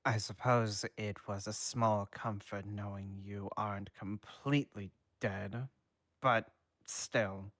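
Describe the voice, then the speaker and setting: A man voicing a stern but caring schoolteacher in a fan fiction reading, slow, formal and measured. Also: on the low side, steady tone, fairly bright tone, no echo, studio quality. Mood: concerned.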